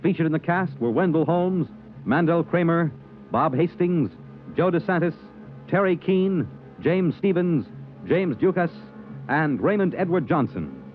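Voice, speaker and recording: A man's voice: on the high side, animated, a little slow. American guy doing old-timey radio announcer, formal script read, very melodic, muffled old broadcast. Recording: dull vintage radio broadcast. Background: music.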